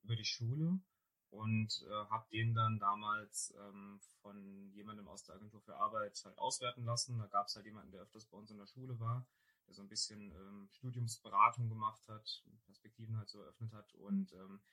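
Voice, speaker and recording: Bright-voiced hesitant young man. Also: on the low side, fairly even intonation, normal speed. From near Saarbrücken, Germany. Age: 20s. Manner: hesitant, lots of ähm fillers. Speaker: student guest. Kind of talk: casual interview talk.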